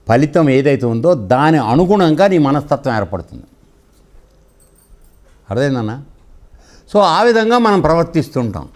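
High-pitched male spiritual teacher, conversational. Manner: didactic, conversational, checks understanding with questions. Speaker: spiritual teacher giving a discourse.

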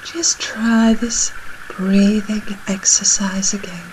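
A woman leading a guided breathing meditation in a soothing, measured, soft and breathy voice that nears a whisper at times. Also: mid-range voice, steady tone, slight background noise, low-quality recording. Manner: soothing, measured, unhurried instruction.

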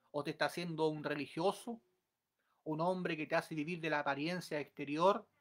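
A man in his thirties preaching an earnest, exhorting sermon to a webcam in a small room at home, building to forceful pleas. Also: a little high. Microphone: webcam at close range.

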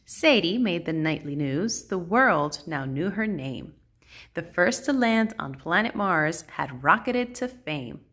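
A woman reading a rhyming children's picture book aloud in a measured, sing-song, expressive way. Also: on the low side.

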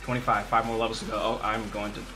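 A man giving casual, excitable gameplay commentary with friends, exclaiming and swearing as he plays. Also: on the low side, fast. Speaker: gamer playing an online tank game. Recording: music mixed behind the voice. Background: music.

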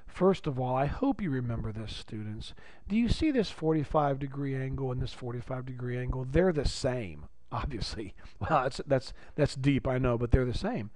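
A man with a raspy voice teaching a geometry lesson, upbeat and chatty, repeating key phrases for his students. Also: mid-range voice, lively intonation, moderate pace, darkish tone, dry recording. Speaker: math teacher. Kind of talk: recorded classroom lecture.

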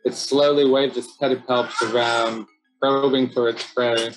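Middle-aged man, relaxed and chatty, video call from home, medium pitch, faint music underneath. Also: unhurried, rough recording. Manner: relaxed, chatty, many fillers like "so yeah" and "um". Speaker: city cave biologist presenting. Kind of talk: casual narrated slideshow talk.

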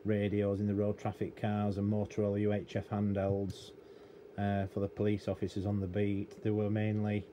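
Low, mellow middle-aged British man. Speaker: radio technician and hobbyist presenter. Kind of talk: unscripted hands-on demonstration, casual explanation. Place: indoor cluttered electronics workbench. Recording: noticeable room noise behind voice.

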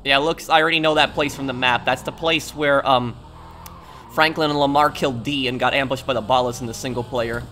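A man's voice: mid-range voice, steady tone, a little fast, bright, forceful tone. Man commentating casually over noisy gameplay. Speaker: gaming video creator. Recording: clear game noise and gunfire behind. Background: video game gunfire and ambience.

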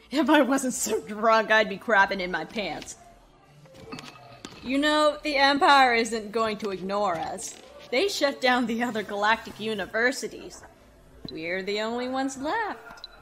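A woman's voice: on the high side, animated, takes it slowly, a bit bright. A woman playing a breezy, tipsy young student party-goer in an audio drama, with slangy, drawling talk. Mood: tipsy, exasperated. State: drunk.

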